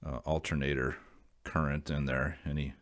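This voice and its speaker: A man's voice: rumbling low, little pitch movement, normal speed. A man with an American accent giving a casual, unscripted explanation to viewers in a rambling, folksy, plain-spoken way. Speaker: video creator sharing radio hobby advice.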